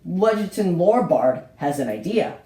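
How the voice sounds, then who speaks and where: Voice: medium pitch, very animated, unhurried, dark tone. A person presenting a homemade puppet show as host, with a theatrical, exclaiming storyteller manner. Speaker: host showing a puppet collection. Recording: roomy echo with faint noise.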